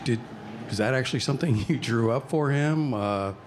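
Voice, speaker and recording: A man's voice: mid-range voice, moderate pace. A man, a newspaper reporter, asking a coach questions at a press conference in a conversational, slightly hesitant way.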